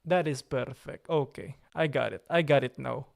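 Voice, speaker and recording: A man's voice: medium pitch, moderate pace, gravelly. A man with an Italian accent, hosting a casual live stream from his computer, playful and joking and repeating himself. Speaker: livestream host.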